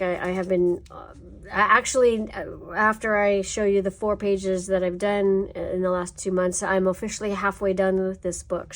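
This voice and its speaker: A woman's voice: mid-range voice, a little mellow. A woman with a stuffy, congested nose, chatting casually and rambling a little as she shows her finished coloring pages. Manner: rambling, chatty, frequent ums and self-corrections. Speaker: coloring channel host.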